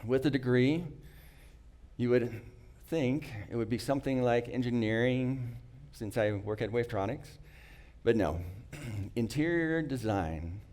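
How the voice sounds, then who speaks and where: A middle-aged man tells a personal story to an audience, slowly and earnestly. English is not his first language. He pauses, restarts phrases and clears his throat now and then, in a voice of ordinary middle pitch. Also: a little mellow. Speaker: speaker sharing a personal story.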